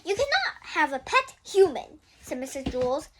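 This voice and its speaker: A young girl with a high, very lively voice reads a children's book aloud in a casual way. She often digresses into chatty explanations for viewers, over a slightly noisy home recording. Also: normal speed.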